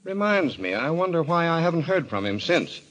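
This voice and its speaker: An actor playing a brisk, practical brother in an old-time radio mystery, matter-of-fact in manner. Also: male voice, fairly high pitch, big pitch swings, a bit bright, highs cut off. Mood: brisk, curious. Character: brisk, practical brother coaxing his frightened sister.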